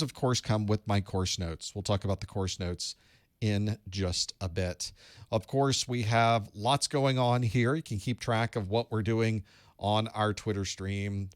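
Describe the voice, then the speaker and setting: A man with a lowish voice hosts a live online study group from a studio room, talking into a studio microphone. He is easygoing and chatty, greeting viewers by where they are joining from and slipping in light jokes. The sound is close and dry, with no room echo. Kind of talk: casual live-stream chat and instruction. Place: home studio room with electronics.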